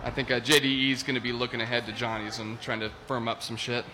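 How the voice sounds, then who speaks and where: A young adult man hosting a casual podcast, relaxed, announcing matchups and making picks. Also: mid-range voice, fast talker, noisy background. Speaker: podcast host.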